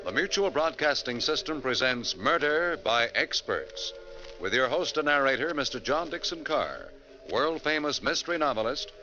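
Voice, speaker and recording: A man's voice: mid-range voice, big pitch swings, normal speed, sharp tone. A man announcing a 1940s radio program in a grand, formal style. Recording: dull vintage radio recording, music behind.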